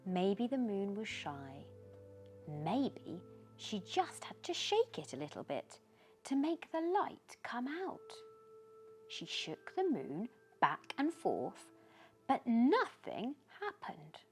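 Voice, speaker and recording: A woman reads a children's bedtime story aloud in an expressive, gentle storytelling manner. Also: on the high side, takes it slowly, faint music behind. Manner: expressive, gentle storytelling with questions posed to the listener. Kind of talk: bedtime story read aloud with short asides.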